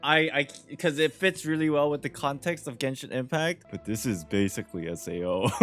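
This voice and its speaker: A man's voice: medium pitch, animated, normal speed, soft background music. A young man giving casual, slangy, reactive commentary as a video game streamer.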